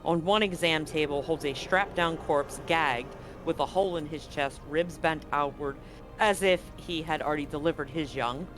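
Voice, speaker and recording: Brief: game master, male, slightly high voice, easygoing narration over faint music. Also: moderate pace. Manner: casual, with fillers, laughs at the table. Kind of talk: narrating a tabletop roleplaying game.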